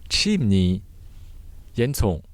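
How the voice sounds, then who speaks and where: A man teaching vocabulary word by word in measured, short separated phrases, as a scripted lesson. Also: on the low side, very animated, dry recording.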